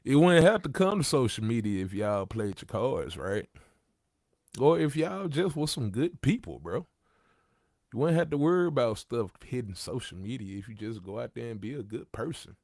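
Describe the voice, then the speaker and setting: A man's voice: mid-range voice, expressive, normal speed, a little mellow, dry recording, clean studio sound. A man in his thirties hosting a livestream, talking casually with slang and repeating his points. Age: 30s. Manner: gravelly, conversational, repeats himself, street slang. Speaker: livestream host.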